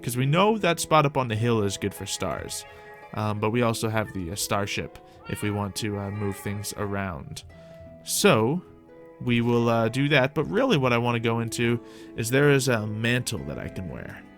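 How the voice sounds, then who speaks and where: A man's voice: medium pitch, lively intonation, normal speed, faint music behind. A man giving casual, improvised roleplay game commentary, chatting and thinking aloud as host of a gaming video.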